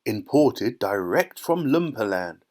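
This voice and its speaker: A man voicing a flamboyant, excitable eccentric factory owner for a children's storybook, exuberant and emphatic, like a showman. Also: mid-range voice, measured pace. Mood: proud.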